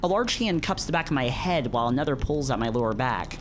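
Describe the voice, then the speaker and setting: A man playing a brooding, dazed visual novel narrator in a solemn, measured dramatic reading, with some background noise. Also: medium pitch, lively intonation, natural voice. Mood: tense. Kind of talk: dramatic literary read-aloud narration.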